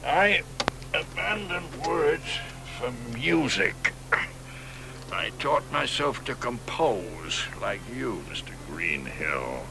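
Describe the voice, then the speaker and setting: An actor playing a frail, forgetful elderly poet who frets and drifts into whispers. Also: male voice, mid-range voice, sing-song, takes it slowly, gravelly, noisy background, exaggerated voice. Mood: musing. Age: older. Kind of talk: radio drama acting.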